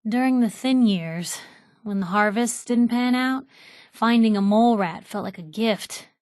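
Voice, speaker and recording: Plucky wasteland newswoman for a video game, played by an actress: young, wry and chatty, slightly high pitch, very dry sound. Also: unhurried, darkish tone, no echo, close to an everyday voice. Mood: wry storytelling. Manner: wry, conversational, sardonic quips. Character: wry, plucky young woman reporter companion in a post-apocalyptic wasteland. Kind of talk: scripted video game companion dialogue.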